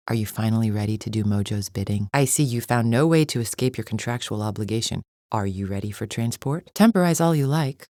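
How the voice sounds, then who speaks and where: A woman's voice: extremely low pitch, a little mellow, no room sound, studio quality, full character voice. An actress playing a proud, disdainful alien arena champion in a video game, a cold warrior woman with stiff, formal, haughty diction. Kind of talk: scripted video game character lines.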